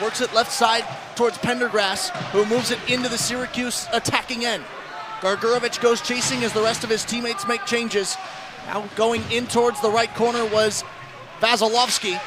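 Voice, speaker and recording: A man giving live ice hockey radio commentary as play-by-play announcer, excitable and ready to raise his voice on chances. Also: very high voice, noisy background.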